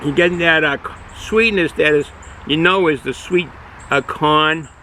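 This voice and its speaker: A middle-aged man with a Boston accent, casually reviewing a beer outdoors, rambling and halting with many fillers. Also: fairly high pitch, bright, forceful tone, a little hiss or hum. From Boston area, United States. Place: outdoors, sun in his eyes.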